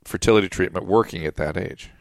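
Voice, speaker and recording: Middle-aged male podcast host, gravelly low voice, stammering restarts, dry studio. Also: no room sound. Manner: repeats words, halting restarts. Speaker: podcast host, addiction doctor. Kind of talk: casual podcast talk.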